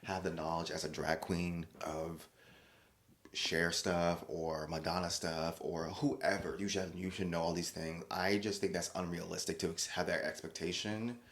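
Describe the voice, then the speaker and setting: A man hosting a solo podcast, talking casually in a rambling, chatty way with many restarts and lively quoted voices. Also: deep voice, unhurried, echoey room. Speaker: podcast host.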